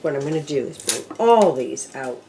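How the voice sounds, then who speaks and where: A woman's voice: very deep voice, animated, normal speed, warm tone, a little hiss or hum. An older woman casually demonstrating machine knitting step by step, counting rows aloud as she works. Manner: talks to herself while working, counting rows aloud. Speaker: knitting instructor.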